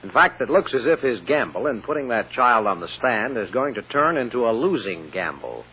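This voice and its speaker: An actor playing an urgent storyteller, narrating a 1940s radio serial drama. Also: male voice, medium pitch, big pitch swings, normal speed, a little hiss or hum. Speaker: announcer-narrator. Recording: muffled old radio recording.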